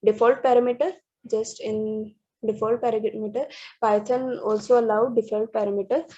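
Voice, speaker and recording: A woman walks through programming slides in a halting way, restarting words and using many fillers. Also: on the high side, fairly even intonation, warm tone, husky. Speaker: student presenter. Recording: dull lo-fi recording.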